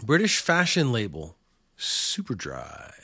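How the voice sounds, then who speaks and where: A man's voice: mid-range voice, wildly swooping pitch, slow, sharp tone, husky, no room sound. A man hosting a small podcast alone, talking in a rambling, sarcastic, opinionated way. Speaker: podcast host. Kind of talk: casual solo podcast rant.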